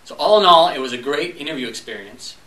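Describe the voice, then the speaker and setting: A man's voice: a little high, animated, fast talker, bright, forceful tone. Young man telling a story in an echoey room. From Montreal, Canada. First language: French. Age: young adult. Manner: storytelling, acting out dialogue. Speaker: club speaker. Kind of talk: humorous speech. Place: club meeting room. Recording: echoey room, distant mic.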